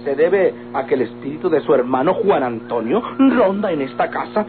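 Man acting a fussy, high-voiced, swooping Mexican manservant. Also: expressive, lightly acted. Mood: pleading, indignant. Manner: whiny, effusive, prim, drawn-out pleading. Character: meddling, fussy, devoted timid manservant. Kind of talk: golden-age Mexican radionovela comic acting. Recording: old tinny radio recording with music.

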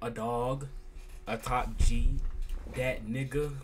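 A man's voice: medium pitch, steady tone, slow pace. A young man giving casual, slangy reaction commentary, often repeating himself as he mocks what he watches. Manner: slangy, repetitive, mocking. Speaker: reaction streamer. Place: small room at home. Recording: anime soundtrack playing behind.

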